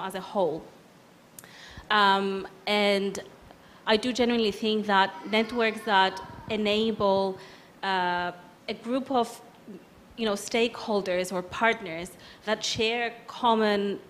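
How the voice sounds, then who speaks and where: Cypriot woman giving quick panel remarks. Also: mid-range voice, slight background noise. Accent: Cypriot Greek. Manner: quick, loose, thinking aloud with fillers. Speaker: panelist, business consultant. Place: conference hall.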